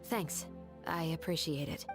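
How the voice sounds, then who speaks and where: An actress playing a stern, dutiful police captain in a video game, composed, businesslike and direct. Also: female voice, low voice, unhurried, music in the background.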